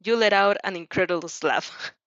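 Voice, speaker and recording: A woman's voice: medium pitch, normal speed, fairly bright tone, dry recording, highs cut off. A woman narrating a story expressively in the second person, now and then stumbling over longer words. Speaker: fan fiction reader.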